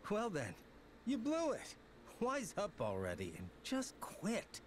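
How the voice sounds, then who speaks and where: An actor playing a defensive young rebel in a game drama, curt and wry. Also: male voice, high-pitched, huge pitch swings, slow, a little hiss or hum, exaggerated voice. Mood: defiant. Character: stubborn, brooding young rebel man, defensive. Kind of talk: dramatic video game voice acting.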